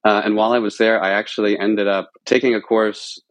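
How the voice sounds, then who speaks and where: An American man with a somewhat low voice talks as an interview guest. He is informal and discursive, rephrasing himself mid-sentence like a researcher explaining his work. The sound is very dry, with no room around it. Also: level tone, brisk, dry recording, rough recording. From central Pennsylvania, United States. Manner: informal, discursive, self-correcting. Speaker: podcast guest, religion researcher. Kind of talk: conversational interview answers.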